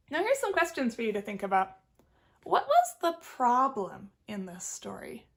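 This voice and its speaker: A woman reading a picture book aloud as a teacher, expressive and putting questions directly to young listeners. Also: sits high, vocal fry. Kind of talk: animated children's read-aloud with questions.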